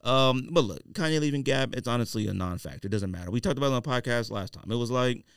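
A man's voice: mid-range voice, animated, quick pace, smooth, no room sound, clean studio sound. An American man hosting a casual podcast, arguing his points emphatically and repeating himself. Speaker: podcast host. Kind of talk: casual podcast chat and debate. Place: indoor podcast studio.

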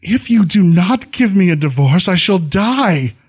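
A man's voice: very high voice, normal speed, pitched above the performer's own voice. A man reading a storybook, voicing a frightened young bride who pleads in a trembling, tearful way. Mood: pleading.

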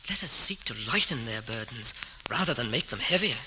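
A man's voice: medium pitch, expressive, brisk, lightly acted. An actor playing an earnest, guilt-ridden young pauper mistaken for king, in formal old-time radio drama style. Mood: firm, indignant. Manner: formal, archaic, impassioned delivery. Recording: noisy old radio recording, long reverb.

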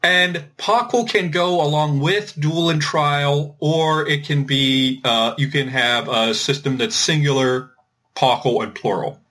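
A man explaining things informally as a podcast host, thinking aloud in a halting way with repeated words. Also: fairly high pitch, pushed and bright. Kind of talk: informal explanatory discussion of linguistics.

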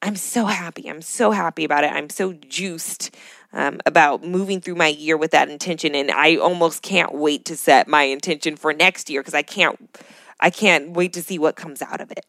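A woman's voice: low-pitched, moderate pace, gravelly, a bit bright, no echo. A woman co-hosting a podcast, speaking casually and reflectively in an upbeat, enthusiastic way. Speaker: podcast co-host.

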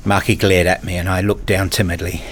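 A man reading a scripted first-person story as narrator, in a measured, introspective manner. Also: deep voice, flat delivery, a bit bright, a little hiss or hum.

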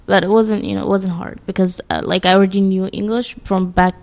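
A woman's voice: mid-range voice, level tone, a little fast, creaky, a little mellow. A teenage girl from Eritrea, speaking hesitantly with many restarts. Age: teens. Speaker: interviewee.

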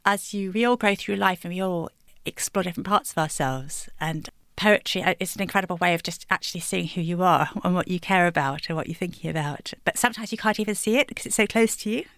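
A woman's voice: fairly low pitch, quick pace, fairly bright tone, no room sound, clean studio sound. A woman poet from England answering interview questions thoughtfully, laughing lightly between thoughts. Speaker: poet guest.

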